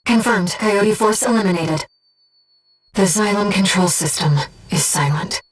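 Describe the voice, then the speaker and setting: A woman voicing a gentle, composed, earnest disembodied female guide in a video game, speaking in a measured, softly formal and precise way. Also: medium pitch, breathy edge, distant mic. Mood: composed, focused. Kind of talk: scripted video game voice acting, mission guidance. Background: music.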